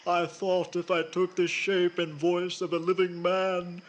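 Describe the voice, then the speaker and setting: An actor playing Death, a weary, formless male spirit hiding under a sheet, who speaks in a slow, mournful and solemn way in an old-time radio drama. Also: high voice, monotone.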